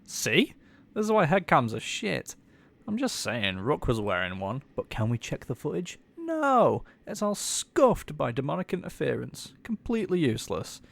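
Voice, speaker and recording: Gravelly, blunt tech guy played by an actor. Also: a little high, huge pitch swings, moderate pace, full character voice. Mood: irritated. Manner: blunt, slangy, informal. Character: blunt, irreverent male tech guy, teasing and sweary. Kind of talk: audio roleplay drama.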